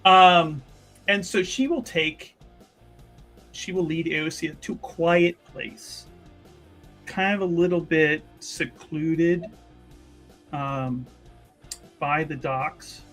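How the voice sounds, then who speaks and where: A man with a slightly high voice narrates a roleplaying game in a casual, improvised way. He goes very slowly and haltingly, rephrasing as he goes, with faint music behind him. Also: a bit bright. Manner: casual, halting, self-correcting. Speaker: tabletop roleplaying player.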